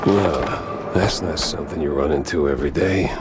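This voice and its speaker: Low, wry noir mouse detective. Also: male voice, moderate pace. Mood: sardonic. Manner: deadpan, sarcastic muttering. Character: wry, world-weary hard-boiled mouse private detective. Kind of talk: noir cartoon video game acting.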